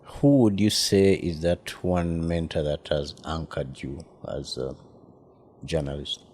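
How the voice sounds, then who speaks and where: A man interviewing a guest, asking short questions and murmuring agreement quietly. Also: a bass voice, slow pace.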